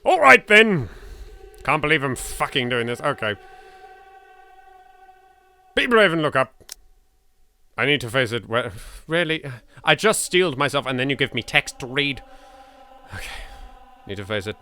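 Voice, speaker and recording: A man's voice: mid-range voice, very animated, normal speed, pauses often, sharp tone. A man with an English accent hosting a let's play, reading aloud dramatically and commenting in a theatrical, exasperated, sweary way. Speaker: gaming video host.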